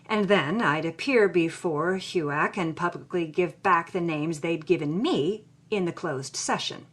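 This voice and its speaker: A woman's voice: on the low side, a little slow. A woman playing a sardonic, troubled 1940s Hollywood writer, reading her journal with theatrical expression. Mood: bitter, distressed. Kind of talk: dramatic first-person reading of journal.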